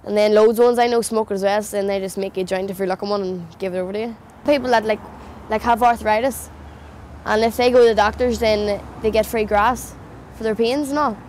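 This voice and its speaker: A woman's voice: mid-range voice, steady tone, a little fast, a little mellow, slight background noise. A young woman with a Northern Irish accent, talking casually and matter-of-factly in a documentary interview. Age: young adult. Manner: casual, matter-of-fact, local slang.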